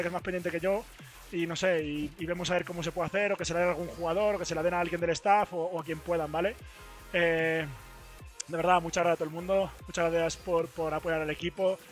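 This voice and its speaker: A hoarse young man who has lost most of his voice, chatting excitedly to his stream on a headset mic in a studio booth. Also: high voice. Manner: excited, repetitive. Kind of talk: casual stream chat.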